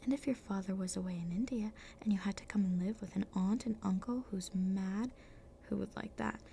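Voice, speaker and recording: A woman voicing a miserable, wound-up young boy in a soft whisper, reading a storybook aloud. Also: medium pitch, fairly quick, vocal fry, slight background noise, natural voice.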